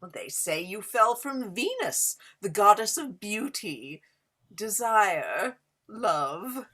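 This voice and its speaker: A woman's voice: mid-range voice, big pitch swings, takes it slowly, fairly bright tone, husky, exaggerated voice. A woman playing a flirtatious Gilded Age society hostess in a period play reading, speaking in an affected, coy and theatrical way. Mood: flirtatious, teasing. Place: indoors, remote online reading.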